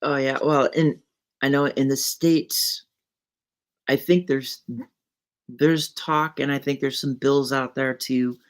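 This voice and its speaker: A woman from the US West Coast, hosting a podcast and chatting in a relaxed, conversational way. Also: extremely low pitch, takes it slowly, pauses often. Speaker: podcast host. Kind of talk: casual interview chat.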